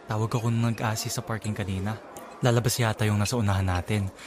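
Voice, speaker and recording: A man's voice: fairly low pitch, steady tone, fast, a crowd in the background, lightly acted. A man playing a polite, considerate young boyfriend in a casual Filipino audio drama. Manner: courteous, casual. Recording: music behind voice.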